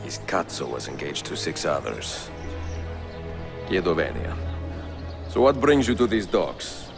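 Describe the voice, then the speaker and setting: An actor playing a seasoned Italian assassin in a video game, calm, measured and wry, with an Italian accent. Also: male voice, a bass voice, gravelly, music in the background, heavily acted. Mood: dry. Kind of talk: video game cutscene acting.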